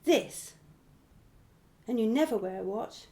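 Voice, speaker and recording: Lively, strong-willed suspicious wife. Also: female voice, fairly high pitch, slow. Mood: accusing. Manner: pointed, demanding, insistent. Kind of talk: radio play acting.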